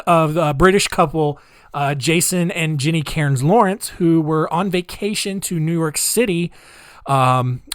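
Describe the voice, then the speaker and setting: A man hosting a casual storytelling podcast, chatty and animated, talking straight to his listeners as guys with lots of asides, moving between loud emphasis and quiet remarks. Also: medium pitch, dry recording, clean studio sound. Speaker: podcast host.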